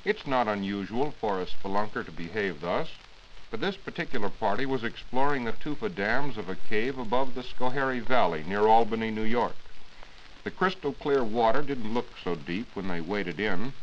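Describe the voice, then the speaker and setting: A dull, lightly hissy 1940s recording has a man reporting on science. He reads a prepared script in a formal, explanatory way. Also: fairly low pitch.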